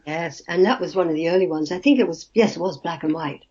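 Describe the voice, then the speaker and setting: A woman's voice: lowish voice, quick pace, mellow, breathy edge. An older woman from Australia, now, a guest actress telling stories in a chatty way and laughing easily. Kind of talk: casual interview chat. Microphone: video call. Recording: muffled video call audio.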